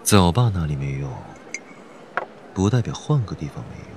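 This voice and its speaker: A man acting a cynical, guarded heir in his twenties in an audio drama, cool, sardonic and deliberate. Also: deep voice, lively intonation, background noise. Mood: cool, bitter. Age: 20s. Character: cynical, guarded young heir, coolly scheming. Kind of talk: Chinese audio drama acting with inner monologue.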